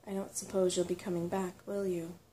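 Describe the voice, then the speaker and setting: A woman voicing a shy, eager young boy in a storybook, soft and hesitant. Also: fairly low pitch, flat delivery, brisk, slight background noise, lightly acted. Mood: hesitant hope. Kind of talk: soft storybook character reading.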